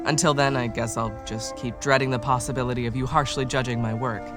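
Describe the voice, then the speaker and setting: A man's voice: mid-range voice, fairly even intonation, quick pace, natural voice. Casting: a man to play an easygoing orc writer turned reluctant game companion. Mid-range voice, dry and hesitant, self-mocking, played over music. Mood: self-deprecating. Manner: wry, hesitant, understated. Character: laid-back, self-deprecating male orc writer and reluctant adventurer. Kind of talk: casual video game companion dialogue. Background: music behind the voice.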